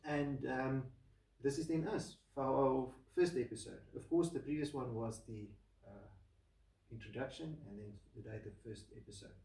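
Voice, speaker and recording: A man hosting a casual audio equipment video, speaking to viewers in a halting, deliberate and measured way at home. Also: mid-range voice, mellow. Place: room at home. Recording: roomy echo, distant microphone.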